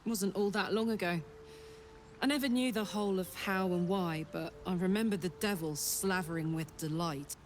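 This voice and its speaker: A hearty, brash warrior woman from a fantasy game, acted by a woman, speaking in a lowish voice. She is blunt, sweary and exuberant, with noise audible behind her. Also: moderate pace, natural voice. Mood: regretful. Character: brash, hearty tiefling woman warrior, escaped infernal soldier. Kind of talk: video game character dialogue.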